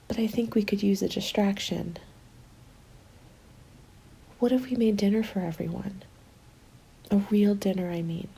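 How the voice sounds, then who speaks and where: A woman playing a hopeful survivor of a zombie apocalypse in an ASMR roleplay, soft-spoken and often slipping into whispers. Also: mid-range voice, gravelly, husky, rough recording. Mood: hopeful, excited. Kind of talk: ASMR roleplay, intimate storytelling. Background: background music.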